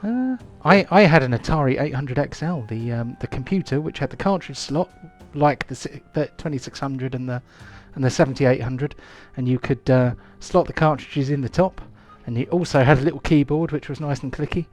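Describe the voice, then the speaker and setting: Male GM, casual host patter, hesitant and rambling, average pitch. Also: normal speed, few pauses, faint music behind. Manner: rambling, chatty, many ums and restarts. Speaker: game master. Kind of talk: live-play tabletop game intro.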